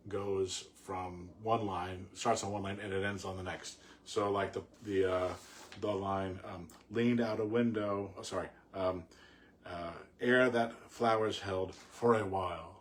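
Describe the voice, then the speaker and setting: A man reading and discussing poetry informally, in a reflective, halting, explanatory way. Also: fairly low pitch. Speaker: poet reading and commenting on a poem. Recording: clearly audible background noise.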